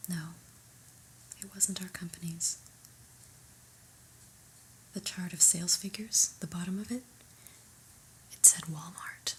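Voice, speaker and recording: Slow, whispering woman as an unimpressed boss. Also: lowish voice, a little hiss or hum, poor audio. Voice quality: breathy whisper. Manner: deliberate, mildly stern, deadpan. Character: unimpressed new office boss, fussy and exasperated. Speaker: ASMR roleplay performer. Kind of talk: whispered ASMR roleplay monologue.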